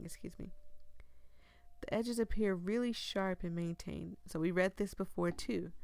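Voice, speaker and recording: A hoarse woman plays through a story game, reading the narration aloud and breaking off to chat. Her mid-pitched voice carries a lot of gravelly fry, and her talk is giggly and loose. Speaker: game streamer.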